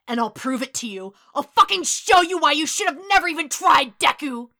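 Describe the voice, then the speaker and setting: A venomous, hot-tempered teenage boy acted by a woman reading a story. The voice is forceful and sharp, pushed up into loud snarls and shouts. Every line is contemptuous and bullying. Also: sits high, expressive, normal speed, pitched above the performer's own voice, exaggerated voice. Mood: venomous contempt. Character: furious, bullying, foul-mouthed teenage boy. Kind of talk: dramatic fan fiction reading.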